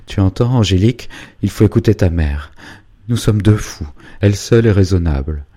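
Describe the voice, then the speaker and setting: A man's voice: low voice, expressive, moderate pace, dark tone. A man reading a storybook voicing an emotional middle-aged father, feverish and stammering. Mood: distraught.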